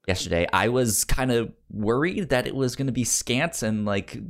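Upbeat, animated male podcast host. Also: mid-range voice, moderate pace. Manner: upbeat and enthusiastic; stammering over words. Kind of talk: casual chat.

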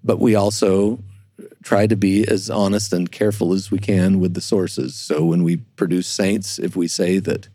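A man speaking as a historian guest in an explanatory discussion, halting and careful, often repeating words as he works out his point. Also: low-pitched, steady tone, dark tone, dry recording, studio quality. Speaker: church historian, interview guest.

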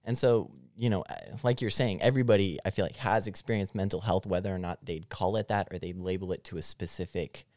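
A man's voice: low-pitched, fairly even intonation, a little fast, few pauses, darkish tone, dry recording, clean studio sound. An eighteen-year-old man talking informally as a guest on a podcast. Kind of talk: casual discussion.

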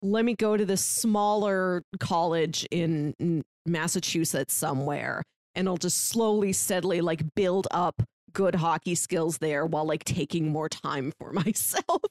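A woman's voice: fairly low pitch, a little slow, hardly any pauses, darkish tone, dry recording, studio quality. A woman telling a story informally in a casual podcast chat, often dropping in "like". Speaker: podcast speaker discussing books.

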